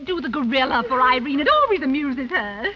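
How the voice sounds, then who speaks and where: High, fluttery society mother. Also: very animated, fairly quick. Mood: fussing. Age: middle-aged. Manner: gushing, fluttery, dithering. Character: flighty, fussing rich society mother, scatterbrained. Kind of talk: 1930s radio comedy acting. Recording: muffled, very noisy old radio recording.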